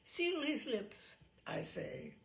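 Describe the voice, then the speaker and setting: A woman's voice: high voice, lively intonation, takes it slowly, a bit bright, pitched above the performer's own voice, exaggerated voice. A woman voicing a jovial, breezy old aristocratic uncle in a storybook reading, hearty and airy in manner. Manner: hearty, airy, upper-class turns of phrase.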